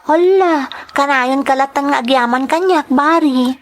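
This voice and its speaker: Supportive, devoted young female sweetheart, acted by a woman, clearly high voice, Ilocano accent, emotional drama delivery. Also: unhurried. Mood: affectionate. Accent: Filipino, Ilocano. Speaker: drama actress. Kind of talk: sentimental radio-style drama acting.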